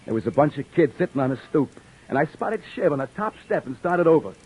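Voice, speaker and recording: An actor playing a weary beat cop who narrates and talks with dry wisecracks, in an old radio drama. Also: male voice, a little high, big pitch swings, fast, breathy edge, highs cut off, soft background music. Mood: narrating. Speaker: narrator and lead. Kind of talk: 1950s radio drama acting. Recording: old radio recording with faint hiss.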